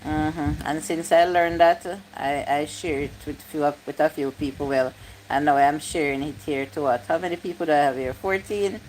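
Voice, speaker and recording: A woman's voice: low-pitched, moderate pace, darkish tone, a little hiss or hum, low-quality recording. Chatty woman in her fifties. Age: 50s. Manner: chatty, repeats points, reads viewer comments aloud. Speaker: YouTube live streamer. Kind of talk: casual livestream chat.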